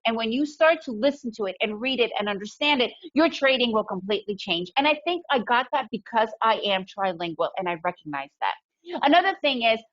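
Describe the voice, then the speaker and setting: A woman's voice: fairly high pitch, a bit bright. A woman raised in the US, with Russian as her first language, gives an upbeat, emphatic webinar talk from home, often asking "right?". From Soviet Union, raised in the US. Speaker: webinar presenter, day trader. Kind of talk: webinar presentation. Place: home in Guatemala. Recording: dull lo-fi webinar audio.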